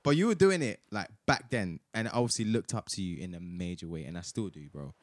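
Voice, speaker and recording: Bantering young Nigerian-born man, low voice. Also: animated, fairly quick, no room sound. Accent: light Nigerian. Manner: laughing, teasing, says bro a lot. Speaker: podcast host. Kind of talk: casual chat.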